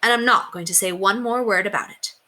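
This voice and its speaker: A woman's voice: medium pitch, brisk, bright, forceful tone, pitched above the performer's own voice, natural voice. Woman reading as an assured, teasing housekeeper. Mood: playful. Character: affectionate, playfully firm English housekeeper woman. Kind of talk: children's storybook read-aloud.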